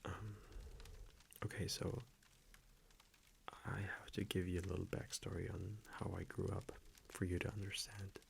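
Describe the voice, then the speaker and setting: A man playing a gentle, confiding vampire boyfriend in an intimate ASMR roleplay, speaking hushed and hesitant and often slipping into a whisper. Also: deep voice, flat delivery, a little slow, creaky, slight background noise, full character voice. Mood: shy, hesitant.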